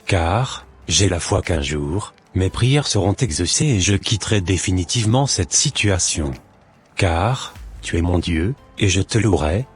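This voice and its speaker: Man voicing a low-pitched, devout, humble man. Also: animated, unhurried, breathy edge, soft background music, exaggerated voice. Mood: prayerful. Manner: pious, earnest, formal. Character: devout, humble formerly homeless man, earnest and grateful.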